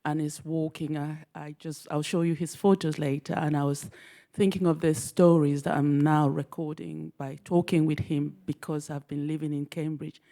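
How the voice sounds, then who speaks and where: A man from Cameroon asks the speakers an earnest question from the audience. His voice is gravelly and dark, with a flat, even pitch, and his phrasing comes in halting pieces as he gathers his thoughts. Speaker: audience member asking a question. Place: lecture hall audience.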